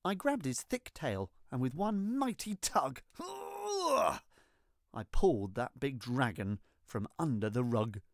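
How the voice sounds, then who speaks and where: A storyteller reading a rhyming children's storybook in an expressive, theatrical and deliberate way. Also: medium pitch, gravelly, no echo, clean studio sound. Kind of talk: scripted storybook narration in rhyme.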